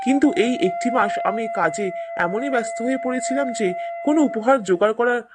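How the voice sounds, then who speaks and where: A man playing an earnest, courteous young prince in an animated fairy tale, speaking formally and politely. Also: very high-pitched, fairly quick, heavy background noise. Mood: apologetic.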